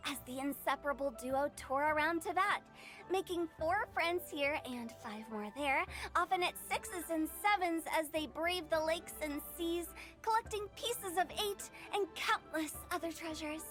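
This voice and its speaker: A woman's voice: very high-pitched, measured pace, fairly bright tone. A woman playing a mischievous, theatrical teenage girl in an anime video game, teasing and playing with words. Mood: sly, theatrical. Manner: teasing, theatrical, rhyming wordplay. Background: game music.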